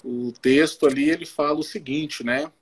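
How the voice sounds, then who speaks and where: A man with a husky voice, speaking informally as a missionary pastor in a halting way, often checking in with his listener. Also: a little high, steady tone. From Belo Horizonte, Brazil. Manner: halting, frequent tag questions to the listener. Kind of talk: informal testimony and Bible teaching. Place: live social media video call.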